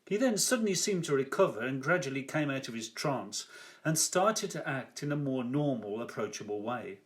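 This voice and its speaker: An older man reading his own first-person story aloud like an author at a reading, theatrical and wry, with deliberate emphasis. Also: mid-range voice, sing-song.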